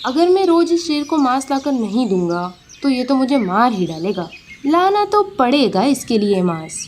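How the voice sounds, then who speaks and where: Woman playing a sly, high-voiced cartoon fox. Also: sing-song, normal speed, a little mellow, slight background noise. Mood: worried scheming. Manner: pleading, persuasive, wily. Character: sly, quick-witted, flattering male fox serving a lion. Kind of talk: animated children's moral story.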